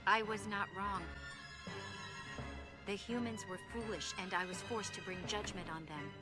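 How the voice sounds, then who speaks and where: A woman's voice: mid-range voice, normal speed, lightly acted. A woman playing a betrayed, vengeful female android, accusing and imperious, in a dramatic game cutscene. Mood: bitter, accusing. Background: loud game music.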